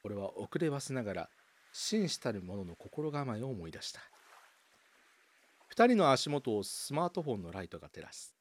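A man's voice: medium pitch, sing-song, moderate pace. A man reading scripted first-person narration for a radio drama, with dramatic, suspenseful storytelling.

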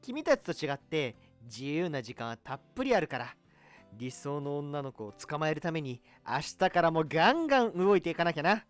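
A man's voice: on the high side, big pitch swings, moderate pace, soft background music. A man playing a smug, girl-crazy young braggart in an amateur comic radio drama. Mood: smug, boastful. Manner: pompous, theatrical boasting.

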